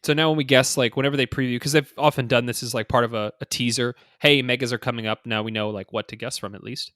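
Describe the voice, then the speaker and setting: Guy cohosting a gaming podcast, medium pitch, chatty and casual, lots of likes, really dry sound. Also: fairly even intonation, fast talker, fairly bright tone, dry recording. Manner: conversational, full of 'like' and 'you know'. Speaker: podcast co-host.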